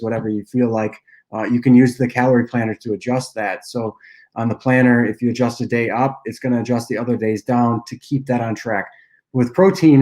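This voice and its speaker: A young man with a nasal voice from a cold, casually answering viewer questions on a livestream and often clearing his throat. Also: mid-range voice. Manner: relaxed, loose delivery with frequent uhs and throat clearing. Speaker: app cofounder and dietitian hosting a Q&A livestream.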